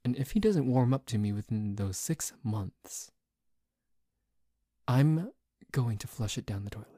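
Tsundere boyfriend, acted by a man, ASMR roleplay: grumpy, possessive, halting sighs, unhurried low-set voice. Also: expressive, mellow, dry recording, studio quality. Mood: grudging, drowsy.

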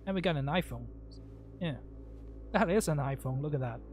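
A man's voice: fairly high pitch, lively intonation, normal speed, darkish tone, faint music behind. A man giving casual, rambling and blunt commentary as he hosts a gameplay video. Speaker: gaming video host playing and commenting.

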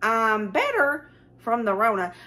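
Hoarse Southern mom talking through a recipe. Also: female voice, a little high, measured pace. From Southern United States. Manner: chatty and relaxed, gives step-by-step directions. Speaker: home cooking vlogger. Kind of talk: casual recipe walkthrough. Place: home kitchen.

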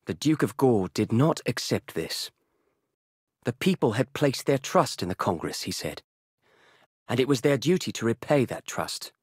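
An actor playing a solemn chronicler of war, narrating a video game story in a measured, grave and husky voice. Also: male voice, mid-range voice, dry recording, studio quality. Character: solemn male chronicler recounting a war's aftermath.

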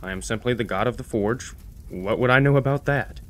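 Grumpy old blacksmith god, acted by a man, bitter and rambling, mid-pitched, audio drama. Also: a little fast, noisy background, natural voice. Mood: dismissive. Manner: grumbling, rambling about old grievances.

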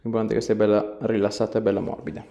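A man, a chiropractor giving a patient short, gentle, repeated instructions. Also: lowish voice, flat delivery, racing, creaky, lo-fi sound. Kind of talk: instructing a patient during treatment. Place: small indoor treatment room. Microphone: camera a few steps away. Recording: roomy echo, camera across small room.

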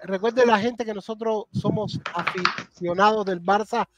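A middle-aged man with a Cuban accent hosting a casual live stream chat over a video call, lively and warmly addressing his guest. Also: high voice, unhurried, crowd noise. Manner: animated, thanks viewers, calls guest gato and hermano. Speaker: host.

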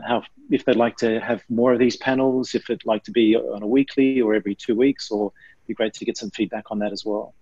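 A middle-aged man giving closing remarks as host on a video call, thankful and halting. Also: medium pitch, darkish tone, no echo. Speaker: host of the summit. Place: home office. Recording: dull dry video call audio.

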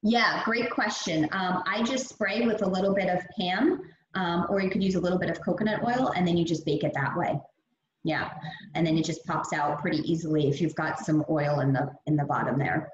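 A woman's voice: on the low side, brisk. A young adult woman fitness trainer giving an upbeat, chatty meal prep talk over slides on a video call. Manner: upbeat, chatty, many fillers. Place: gym or studio room. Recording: echoey, dull lo-fi audio with faint hiss.

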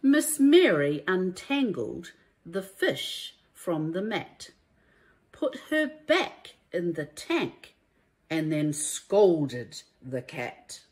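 A woman reads a children's storybook aloud like a primary teacher, in a deliberate, emphatic and expressive way. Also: fairly low pitch. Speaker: primary school teacher reading a story to young pupils.

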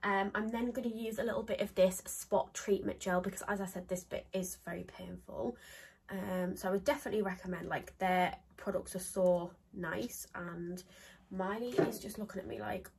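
A woman's voice: mid-range voice, steady tone, moderate pace, creaky, darkish tone, husky. A young woman in her twenties, congested with a cold, chatting to a close phone camera in a small home office, rambling with lots of ums. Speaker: beauty vlogger. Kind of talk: casual chat to camera.

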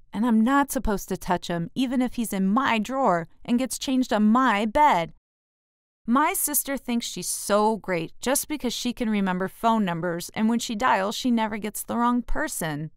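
A woman's voice: on the high side, clean tone, no room sound, studio quality, close to an everyday voice. A woman voicing a jealous, defiant six-year-old boy in a children's storybook.

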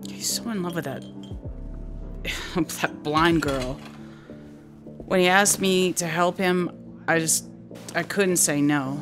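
A woman's voice: lowish voice, slow pace, long pauses, over music. A woman playing a drunken, remorseful bar patron in a radio drama, heavy-hearted in manner.

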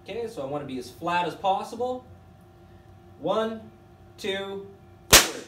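A man in his thirties casually demonstrating a welding tool in a workshop, chatty and relaxed. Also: high-pitched, measured pace, a little hiss or hum. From Canada. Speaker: product demonstrator for a welding company. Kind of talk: casual product demo. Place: workshop with welding equipment, indoors. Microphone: camera mic from a few feet away. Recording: roomy workshop echo from a distance.

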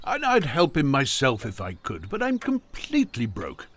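An actor playing a frail, kindly, complaining old uncle in an audio drama, with a faltering, rambling, groaning way of talking. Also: male voice, medium pitch, wildly swooping pitch, moderate pace, a bit bright, slight background noise. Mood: grumbling. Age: older.

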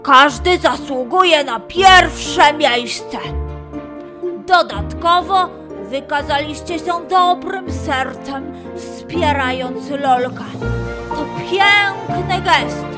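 A woman voicing a warm-hearted, dignified male beaver announcing at a ceremony in a children's story. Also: high voice, very slow, voice pushed up. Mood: warm, ceremonial. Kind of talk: children's audio story acting. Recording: music under the voice.

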